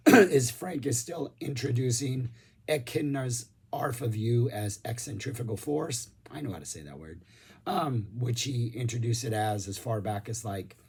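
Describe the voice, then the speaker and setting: A hoarse man losing his voice, rambling enthusiastically about music in a casual review vlog, with lots of ums. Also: mid-range voice, darkish tone. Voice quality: husky.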